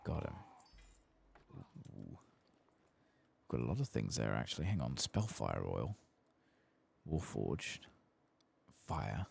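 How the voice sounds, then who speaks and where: A man doing soft-spoken ASMR commentary as he plays a game, murmuring to himself in a low-key way. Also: big pitch swings, slow pace, vocal fry, pauses often, husky. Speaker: game streamer, host of an ASMR playthrough. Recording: keyboard and mouse clicks behind voice.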